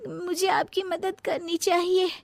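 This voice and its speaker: A high, whiny young daughter-in-law in a family story, acted out by a woman. She is lazy and scheming, and complains with plenty of drama in a dry, close recording. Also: little pitch movement, a little slow, gravelly, no echo, exaggerated voice. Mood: falsely meek.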